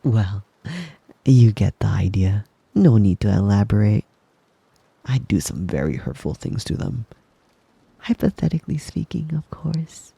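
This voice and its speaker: A man voicing a possessive, doting, menacingly devoted wolf boy lover in an intimate ASMR roleplay, breathy and husky, often slipping into a whisper, with a tender, teasing manner. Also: fairly low pitch, very animated, dark tone, no room sound, dull audio, full character voice. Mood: teasing menace. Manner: slow, tender, teasing, giggly.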